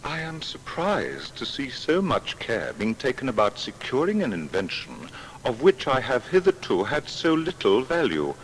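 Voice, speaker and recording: An actor playing a modest, learned seventeenth-century scientist in an audio biography, speaking in formal, measured, old-fashioned diction. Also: male voice, medium pitch, huge pitch swings, slight background noise, rough recording. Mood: modest, bemused. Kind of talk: dramatised historical biography reading.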